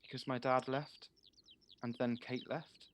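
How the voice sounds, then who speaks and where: A man's voice: mid-range voice, little pitch movement, unhurried, natural voice. Over faint recording noise, a male voice from an actor plays an innocent, childlike friend in an audio drama. He talks plainly and earnestly in short, blunt sentences. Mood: sad, questioning. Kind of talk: naturalistic audio drama acting.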